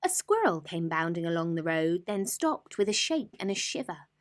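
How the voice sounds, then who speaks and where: A woman reading a rhyming bedtime story with dramatic, deliberate, rhythmic expression. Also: lowish voice, sing-song.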